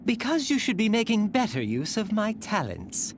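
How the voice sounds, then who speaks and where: An actor playing a boastful, eager young warrior in a video game, speaking brightly and cockily. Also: mid-range voice, huge pitch swings, normal speed, a little hiss or hum. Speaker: game character. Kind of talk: game voice clips.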